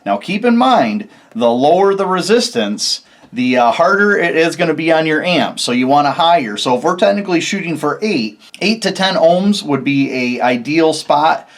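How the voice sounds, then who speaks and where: A man's voice: a little high, animated, moderate pace. A man hosting a casual how-to video, explaining things step by step in an informal, folksy way. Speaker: host of a DIY car electronics video.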